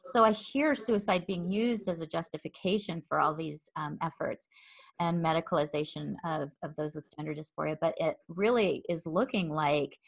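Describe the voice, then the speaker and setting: A woman's voice: low voice, moderate pace, vocal fry. A woman hosting an interview, earnest and wordy, with frequent ums and repeated words. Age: adult with a child. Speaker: interview host. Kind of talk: interview conversation.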